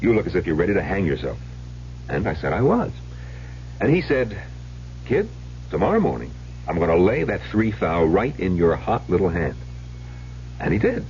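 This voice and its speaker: A middle-aged, well-educated husband weighed down by the past in an old radio play. His deep voice is confessional and theatrical, swooping dramatically in pitch. The recording is muffled and slightly noisy. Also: very animated, normal speed, long pauses, a little mellow. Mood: grave, reminiscing.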